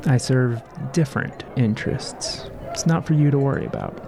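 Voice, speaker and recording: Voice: medium pitch, big pitch swings, moderate pace, vocal fry, over music, crowd noise. A performer playing a guarded, sardonic woman spy in an audio drama, terse, dry and unimpressed.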